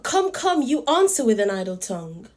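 A woman's voice: fairly high pitch, big pitch swings, normal speed, darkish tone. A woman playing a reproachful, alarmed queen and mother in a Shakespearean tragedy for audio.

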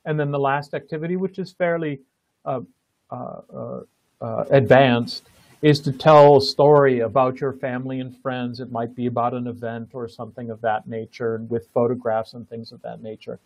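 A man's voice: medium pitch, steady tone, normal speed, creaky, darkish tone, no echo. A man hosting an online planning meeting, talking through slides with many fillers and restarts over a video call. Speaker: meeting host presenting a program. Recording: dull lo-fi call audio.

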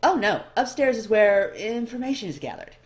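A woman voicing a chatty, flustered male elf librarian in a fantasy story, hurried and slightly impatient. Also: a little high, very animated, voice pushed up. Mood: brisk, impatient.

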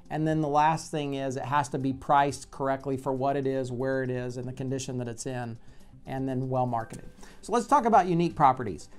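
A man's voice: medium pitch, a little mellow. Conversational man explaining, music behind. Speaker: real estate agent hosting a video blog. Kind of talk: explanatory talk to camera. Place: bright office by large windows, indoors. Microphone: lapel mic.

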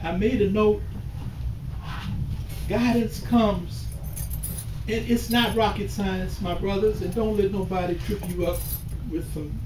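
Older man preaching slowly in an echoey hall. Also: high-pitched. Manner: slow and deliberate, rising to emphatic calls. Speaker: imam. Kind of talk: sermon. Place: small mosque hall with bookshelves, indoors. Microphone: far camera at the back of the room. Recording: echoey room from far off with hiss.

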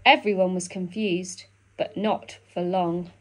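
A woman reading a children's picture book aloud in an expressive storytelling style. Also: on the low side, measured pace, rough recording. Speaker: storybook reader.

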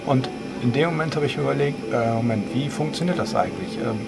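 A man explaining things in a casual, measured, slightly hesitant vlog style. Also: medium pitch, lively intonation, creaky, over loud music. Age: 50s. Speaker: host of a home cockpit tutorial channel. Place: small home flight simulator cockpit, indoors.

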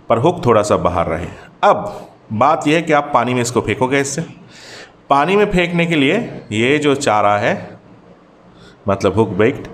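A man's voice: medium pitch, slight background noise. A man whose first language is Bengali hosts a hands-on fishing tutorial, chatting through each step and calling the viewer brother. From Bangladesh. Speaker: fishing channel host.

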